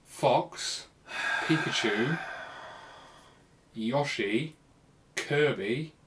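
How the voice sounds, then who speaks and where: A man's voice: medium pitch, extremely slow, noisy background. A man co-hosting a podcast as a matey, teasing quizmaster in casual chat. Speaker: podcast co-host.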